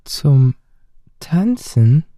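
A man's voice: a little high, big pitch swings, extremely slow, mellow, no echo, exaggerated voice. A male actor playing a curious, candid and slightly cheeky teenage boy in a radio drama, direct and questioning. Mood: puzzled, cheeky.

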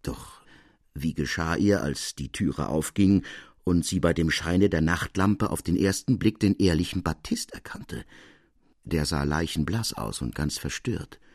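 A man narrating a literary audiobook in a measured, expressive, dramatic manner with deliberate pauses. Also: deep voice, dry recording, clean studio sound.

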